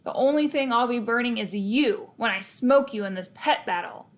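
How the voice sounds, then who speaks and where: A woman's voice: a little high, moderate pace, close to an everyday voice. A woman voicing a cocky, hot-headed school-age kid in a children's storybook, emphatic and pushy. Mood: defiant.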